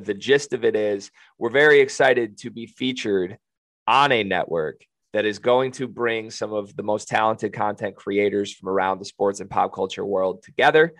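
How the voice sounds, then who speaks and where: A man with a raspy, gravelly voice chats on a podcast, upbeat and quick with a joke. He is recorded up close on a dry mic with no room sound. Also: fairly low pitch, a bit bright, dry recording. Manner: upbeat, joking, plugs upcoming episodes. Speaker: podcast co-host. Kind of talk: casual podcast chat.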